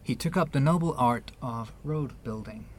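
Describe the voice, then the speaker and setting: An actor in a radio play voicing a guarded, wounded New York man with a measured, wry delivery. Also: medium pitch, a little hiss or hum, lightly acted. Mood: somber, restrained.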